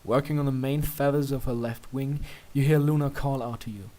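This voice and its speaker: A man's voice: medium pitch, steady tone, a little fast, mellow. A man reading a scripted story aloud as a fan fiction narrator.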